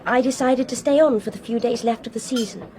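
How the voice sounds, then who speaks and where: An actress playing a highly strung, independent young Englishwoman in a radio drama. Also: a little fast, warm tone, a little hiss or hum, close to an everyday voice. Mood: subdued, candid. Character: distressed, aloof young Englishwoman travelling alone abroad.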